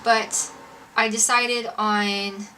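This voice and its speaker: Bright, chatty young American woman, slightly distant mic. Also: mid-range voice, level tone, extremely slow. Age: young adult. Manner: chatty, informal, peppered with "like". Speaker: vlogger and singer-songwriter. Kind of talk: casual personal storytelling to camera. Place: indoors, makeshift home filming setup. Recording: distant mic, roomy home video, background noise.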